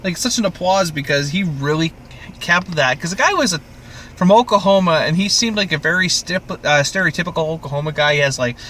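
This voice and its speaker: A man hosting a casual podcast chat about a TV show, with crude, profane, animated joking. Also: a little high, brisk, slight background noise. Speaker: podcast host.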